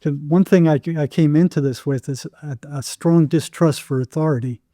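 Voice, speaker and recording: Older American man with a very mellow voice. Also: a little high, fairly even intonation, normal speed, no echo, studio quality. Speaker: psychotherapist interview guest. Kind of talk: casual anecdotal storytelling.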